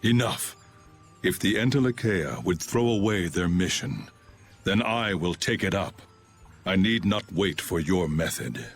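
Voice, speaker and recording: An actor playing a grave, aloof swordsman bitter about humanity, speaking in a solemn, formal, measured way in a video game. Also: low voice, animated, soft background music. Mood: resolute, somber. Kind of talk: dramatic video game voice acting.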